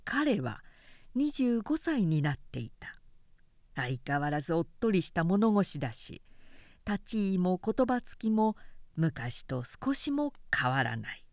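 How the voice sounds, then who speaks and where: A woman reading a literary short story aloud as a narrator, with measured, expressive storytelling delivery. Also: lowish voice, dry recording, clean studio sound. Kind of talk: scripted literary narration, audiobook recitation.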